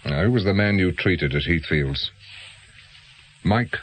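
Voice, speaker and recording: An actor playing a shrewd ex-police inquiry agent with a British accent in a radio drama, blunt and probing. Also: male voice, sits low, animated, unhurried. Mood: pressing, confident. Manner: blunt, probing, matter-of-fact.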